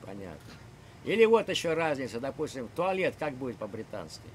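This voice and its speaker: A man's voice: medium pitch, big pitch swings, brisk, slight background noise. An older man from Ukraine hosting chats with strangers on a video call from his home room, talking in a lecturing, provocative way with many hesitations. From Ukraine (Kharkiv). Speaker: chat roulette host. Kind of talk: casual video chat with strangers. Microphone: webcam on video call.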